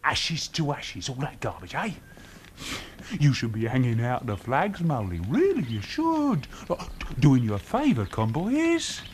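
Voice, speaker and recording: A man's voice: mid-range voice, big pitch swings, unhurried. A male actor with a British accent playing a sneering, bullying lout who taunts in slangy tag questions in a radio drama. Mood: gloating, taunting. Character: sneering, bullying, hard-drinking male lout, cruelly mocking. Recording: noisy old radio drama recording.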